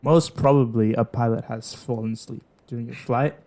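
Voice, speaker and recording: A man's voice: medium pitch, steady tone, normal speed, warm tone. A young man, a trainee pilot, chatting casually on a podcast and laughing easily. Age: young adult.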